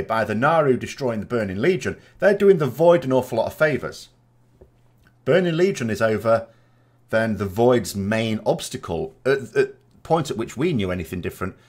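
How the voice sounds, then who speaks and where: A man giving informal, speculative commentary as a gaming vodcast host, reasoning aloud as he goes. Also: mid-range voice. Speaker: video game lore commentator and vodcast host.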